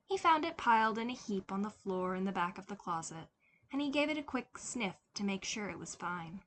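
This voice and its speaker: A woman reading a scripted story aloud as an expressive fiction narrator. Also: medium pitch, fairly quick, slight background noise. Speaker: podfic narrator.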